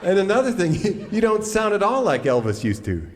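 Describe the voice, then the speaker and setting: Cowboy radio host , played by a man, incredulous straight man, animated delivery, live comedy, reverberant hall, faint noise. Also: high voice, a little fast. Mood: politely doubtful. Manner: courteous, hesitant, incredulous.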